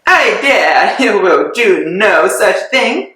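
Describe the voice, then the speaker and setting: Fretful fussy mother put on by a reader, pleading, bright tone, very echoey room. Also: mid-range voice, lively intonation, slow pace. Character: fussy excitable middle-aged mother.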